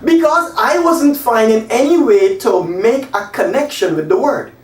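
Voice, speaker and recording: A man in his forties from Guyana, teaching an English lesson at a whiteboard and spelling out example sentences slowly and with emphasis. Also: very high-pitched. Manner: animated, gesturing, slow emphatic example sentences. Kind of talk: classroom lesson. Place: small room by a whiteboard. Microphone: camera across the room. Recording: echoey room, voice from a distance.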